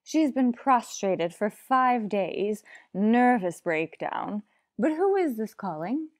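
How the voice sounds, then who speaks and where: A woman's voice: fairly high pitch, very animated, takes it slowly, darkish tone, dry recording, clean studio sound. A woman playing a formal, matter-of-fact household telephone answerer in an old-time radio drama, polite and grave.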